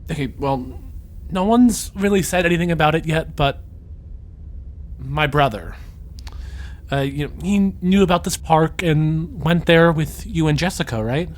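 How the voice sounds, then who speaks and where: A man playing an earnest, tentative young graduate in an audio drama, soft-spoken and halting. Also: a little high, close to an everyday voice.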